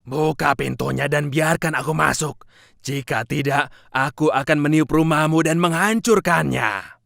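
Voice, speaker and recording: Menacing cartoon wolf, acted by a storyteller: hungry villain, slow drawn-out delivery, hard bright edge. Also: mid-range voice, gravelly, pitched below the performer's own voice.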